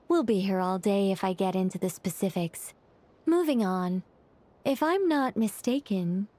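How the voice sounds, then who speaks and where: A woman playing a gentle female angel in an anime game, polite and formal but easily embarrassed. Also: mid-range voice.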